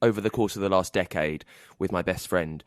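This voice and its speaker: A man's voice: sits low, fairly quick, dry recording, clean studio sound. A man hosting a conversational interview, feeling his way into questions and rephrasing them as he goes. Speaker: podcast host, interviewer.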